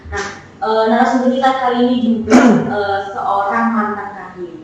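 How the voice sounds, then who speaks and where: A woman's voice: medium pitch, moderate pace, noisy background. A woman in her twenties co-hosting a casual student podcast, chatty and friendly with "eh" fillers. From Serang, Banten. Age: 20s. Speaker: podcast co-host. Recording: distant echoey room.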